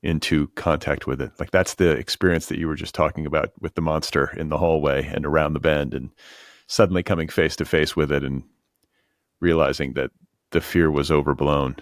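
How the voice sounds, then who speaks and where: An American man hosting an interview, speaking casually in a measured, reflective way with tentative phrasing. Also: a bass voice, fairly even intonation, no room sound, clean studio sound. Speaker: podcast host, interviewer.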